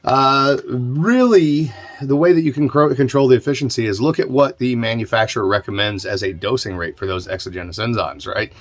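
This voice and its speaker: A man's voice: mid-range voice, fairly quick. An American man hosting a podcast, talking casually and plainly as he explains things and answers questions in an informal, self-deprecating way. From southern Indiana, United States. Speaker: host of a distilling podcast and channel.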